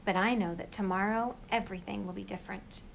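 A woman reading a children's picture book aloud in an expressive storytelling style. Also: mid-range voice, quick pace, gravelly, a little hiss or hum, poor audio.

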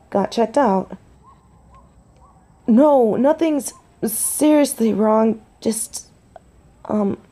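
A woman's voice: medium pitch, mellow. A woman playing a tender, nervous young biker girlfriend in an intimate roleplay audio drama, speaking hesitantly and breathily. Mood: nervous, tearful. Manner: hesitant, breathy, halting delivery with whispers.

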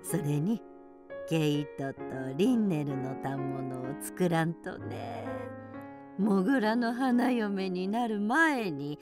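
A woman playing a bossy, scolding old grandmother field mouse in a radio drama, with drawn-out, folksy elderly speech. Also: on the low side, over music. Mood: pleased, coaxing.

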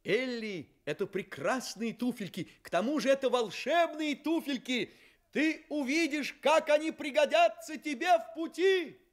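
A man's voice: very high voice, lively intonation, a little slow. A man playing a kindly, playful storyteller in a children's radio play, theatrical and coaxing. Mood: kindly urging. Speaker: narrator.

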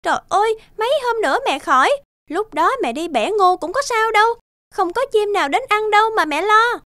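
Very high, childish spoiled daughter. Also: female voice, lively intonation, a little fast, sharp tone, no echo, studio quality, exaggerated voice. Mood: whiny, dismissive. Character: spoiled, lazy young village daughter. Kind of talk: children's folk-tale cartoon dubbing. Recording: children's music behind the voice.

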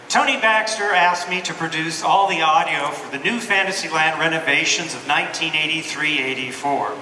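Older male guest speaker, scripted talk, bright tone, distant in a reverberant venue. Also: fairly high pitch. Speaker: guest speaker, department founder. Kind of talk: scripted stage speech. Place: large theatre stage. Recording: echoey hall from far off, faint noise.

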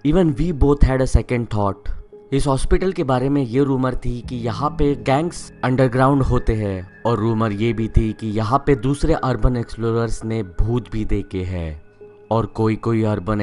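A young man narrating a first-person horror story in a steady storytelling voice, slipping English phrases into his telling, and closing as the channel's host. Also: mid-range voice.

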